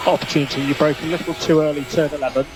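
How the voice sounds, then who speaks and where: A man speaks over a noisy motor racing radio link as a race engineer. His delivery is clipped and measured, with short technical instructions that he often repeats. Vehicle and mechanical noise sits behind the voice. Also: a little high, fairly even intonation. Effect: team radio.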